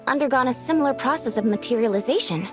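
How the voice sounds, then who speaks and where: A woman voicing a gentle, polite young female yokai in a video game, earnest and a little hesitant. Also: high-pitched, expressive, fast talker, music in the background. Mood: earnest explaining.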